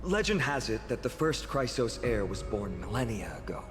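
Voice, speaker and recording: An actor playing a gracious, solemn young warrior hero in a video game, speaking in a measured, formal and sincere way. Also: male voice, medium pitch, very animated, big-room sound, soft background music, natural voice. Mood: solemn, reflective.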